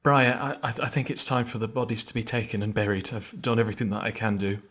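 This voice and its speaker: Guy playing a tabletop rpg, fairly low voice, improvising in and out of character. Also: fairly even intonation, fast talker, a bit bright. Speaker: tabletop roleplaying player. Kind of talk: improvised roleplay and table talk.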